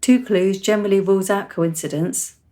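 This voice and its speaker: A woman's voice: mid-range voice, steady tone, a little fast, dark tone, natural voice. An actress playing a precocious, self-assured teenage girl in a radio play, composed, articulate and matter-of-fact.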